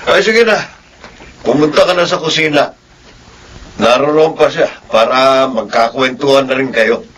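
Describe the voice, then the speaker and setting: Radio drama father, played by a man: middle-aged, mid-pitched, measured, firm, faint noise behind. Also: a bit bright, natural voice. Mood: considerate. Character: firm, protective father defending his returned wife. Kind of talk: Filipino radio drama acting.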